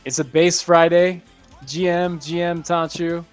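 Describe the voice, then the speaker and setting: A man's voice: on the high side, flat delivery, a little slow, clean tone, faint music behind. A man hosting a live stream, upbeat and casual, greeting listeners while posting links.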